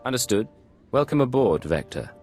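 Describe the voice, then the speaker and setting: An actor playing a cool, sardonic secret agent in a video game, dry and self-assured. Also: male voice, mid-range voice, big pitch swings, normal speed, darkish tone, faint music behind, natural voice. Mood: wry, confident. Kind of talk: video game cutscene acting.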